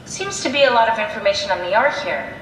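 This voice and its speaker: A woman's voice: on the high side, lively intonation, fast, a bit bright, slight background noise. An actress playing a curious, pragmatic woman scientist in a video game, talking in an analytical, matter-of-fact, conversational way. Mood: brisk, curious. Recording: echoey room sound with music behind.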